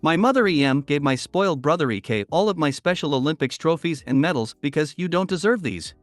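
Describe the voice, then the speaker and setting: Man reading reddit stories, higher voice. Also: lively intonation, brisk, no room sound, soft background music. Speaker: reddit story narrator. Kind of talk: scripted narration reading posts.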